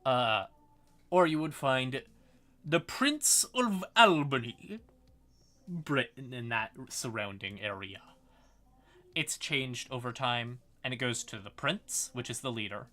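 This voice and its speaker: Bright-voiced man casually explaining lore. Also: medium pitch, expressive. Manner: casual, halting, wry, sweary asides. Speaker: streamer explaining fantasy game lore. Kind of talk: informal livestream lore explainer with asides to chat.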